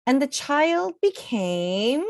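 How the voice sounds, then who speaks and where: Very animated woman reading a picture book. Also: high voice, no room sound, clean studio sound. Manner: animated, theatrical, deliberate, drawing out key words. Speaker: children's picture book reader. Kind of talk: expressive read-aloud storytelling for children.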